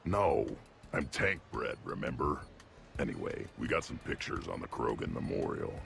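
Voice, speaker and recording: An actor playing a huge young male alien brute in a video game, blunt and mischievous, growling deadpan in terse sentences. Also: normal speed, soft background music, exaggerated voice. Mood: deadpan, amused. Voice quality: deep gravelly growl. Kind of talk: video game character dialogue.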